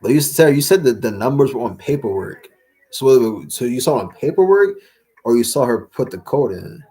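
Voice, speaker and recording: A young man hosting a casual livestream Q&A, rambling with lots of fillers and slang, his voice a little raspy from talking all day. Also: mid-range voice, expressive, fairly quick, mellow, faint music behind, rough recording. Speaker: livestream host answering chat.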